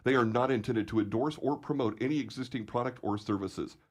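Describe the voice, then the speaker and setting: Male radio theater announcer, formal and measured, mid-pitched.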